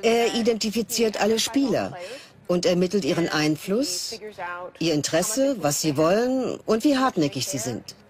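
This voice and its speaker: A woman's voice: medium pitch, big pitch swings, moderate pace, crowd noise, lightly acted. A woman in a dubbed documentary, explaining facts as an expert in a matter-of-fact way. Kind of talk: German documentary voice-over dub.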